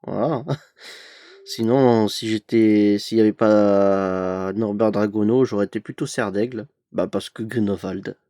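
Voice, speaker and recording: A man's voice: lowish voice, unhurried, dark tone, dry recording, studio quality. A young man with a cold, chatting hesitantly with many fillers while opening an advent calendar for his collection vlog. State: has a cold. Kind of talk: casual unboxing vlog.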